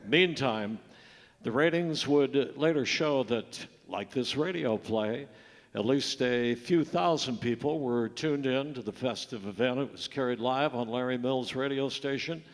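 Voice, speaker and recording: A man's voice: mid-range voice, unhurried. A man telling a story as the narrator of a live radio play. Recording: reverberant live stage in hall. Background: plucked guitar music.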